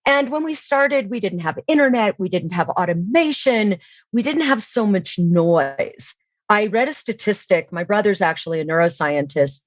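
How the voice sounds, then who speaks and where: A woman's voice: fairly low pitch, dry recording. A middle-aged woman explaining her views as an expert podcast guest, in an assured, emphatic way.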